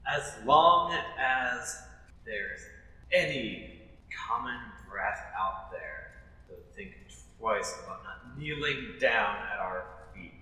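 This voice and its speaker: A man playing a menacing, vengeful would-be wizard in a fantasy role-play, speaking in a grim, pompous, deliberate way. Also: mid-range voice, expressive, bright, forceful tone, exaggerated voice. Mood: cold, menacing. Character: menacing, vengeful would-be wizard who demands fearful respect. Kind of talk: improvised tabletop fantasy role-play acting. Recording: echoey room with long reverb.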